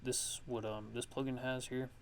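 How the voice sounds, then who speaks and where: A man narrating a casual software tutorial as a music production host, speaking haltingly as he thinks aloud. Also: medium pitch, level tone, a little hiss or hum.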